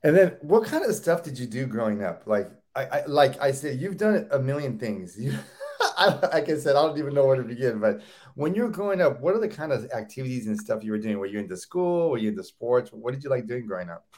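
A man's voice: mid-range voice, big pitch swings, fast, darkish tone. Guy hosting a chat show, super eager, stumbles and repeats himself, medium voice. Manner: enthusiastic, repeats and restarts words. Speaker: host. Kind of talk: casual interview.

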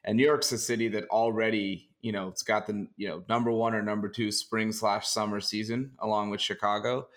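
A young man co-hosting a casual sports podcast, hoarse and raspy from a sinus infection, talking in a relaxed, jokey way. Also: fairly low pitch, fairly quick, few pauses. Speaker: co-host.

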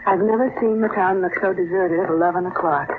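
A woman's voice: fairly low pitch, steady tone, fast, natural voice. An actress playing an anxious but plucky young woman in an old radio drama. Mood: alert, uneasy. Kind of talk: 1950s radio drama acting. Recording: noisy, tinny vintage radio recording.

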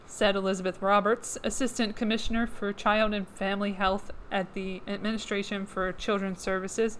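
A woman's voice: medium pitch, a bit bright, slight background noise, poor audio. A woman hosting a podcast, reading news articles aloud and adding sarcastic asides, her voice worn down and fading. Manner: sarcastic asides between read passages. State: losing her voice. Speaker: podcast host.

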